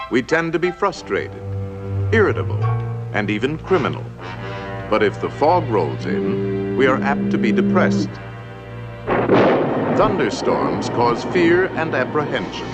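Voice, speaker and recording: Casting: male narrator for a 1950s educational film, theatrical and lively in pitch, over a loud score. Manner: theatrical, expressive phrasing. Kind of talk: 1950s scripted film narration, playful and rhyming. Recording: old film soundtrack under loud music. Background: loud musical score.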